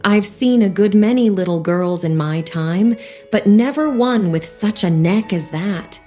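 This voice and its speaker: Storybook pigeon hen, shrill and scornful, voiced by a woman reader. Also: expressive, unhurried, mellow, soft background music, natural voice. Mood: contemptuous. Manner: shrill, sobbing complaints. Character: fretful contemptuous female pigeon, shrieking.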